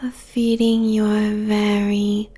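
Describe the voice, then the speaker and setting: A woman's voice: medium pitch, monotone, mellow, breathy edge. A woman playing a seductive, possessive succubus demon queen in an intimate ASMR roleplay, whispering breathily and savoring each phrase. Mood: sultry, taunting. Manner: slow, drawn-out, sultry, savoring phrases.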